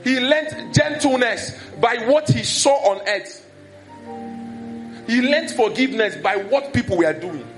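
A man's voice: extremely high pitch, lively intonation, measured pace, faint music behind. A man preaching a conversational sermon that is full of personal stories.

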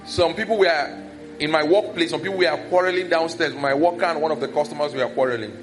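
High-voiced male preacher. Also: quick pace, over music. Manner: conversational, telling personal stories. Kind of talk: sermon.

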